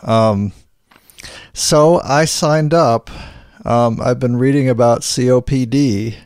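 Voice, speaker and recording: A middle-aged man co-hosting a casual podcast chat, sometimes hoarse and clearing his throat, rambling with many restarts. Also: medium pitch, slow pace, a little mellow, no echo, clean studio sound. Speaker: podcast co-host.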